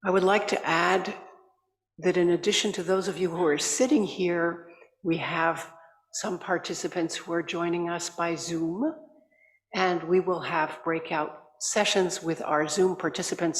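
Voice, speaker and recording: An older woman chairing a conference, giving a measured, courteous welcome into a desk microphone. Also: on the low side, steady tone. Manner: measured, courteous, slightly halting. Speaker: assembly chair. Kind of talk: formal welcoming address. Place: large conference hall. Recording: echoey reverberant hall.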